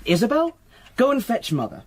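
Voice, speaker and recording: An actor playing a jolly, polite upper-class young English stepson in a radio drama, with a husky, teasing, eager delivery. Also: male voice, very high-pitched, wildly swooping pitch, moderate pace, slight background noise, heavily acted. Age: young adult.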